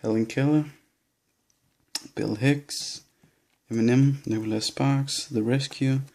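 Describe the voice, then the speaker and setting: Halting young Danish man, gravelly. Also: medium pitch, steady tone, warm tone. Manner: hesitant, halting listing with nervous laughs. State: nervous and shaky. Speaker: vlogger. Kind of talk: casual bookshelf tour.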